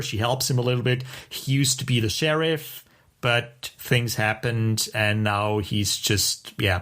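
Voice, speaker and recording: A man co-hosting a podcast in casual chat, talking in a halting way as he thinks aloud. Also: medium pitch, fairly bright tone. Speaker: podcast co-host.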